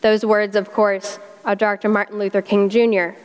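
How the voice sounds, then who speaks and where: A woman's voice: medium pitch, level tone, moderate pace, clear, resonant tone. A woman with a South Carolina accent preaching a sermon in a folksy, conversational way, using homey Southern expressions. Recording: long hall reverb.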